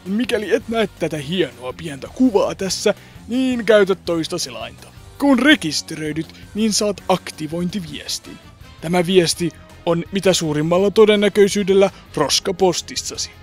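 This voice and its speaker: A man's voice: high-pitched, animated, faint music behind. A man from a fandub group giving a plain, explanatory voice-over tour of its website. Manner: plain explanatory, trailing off softly.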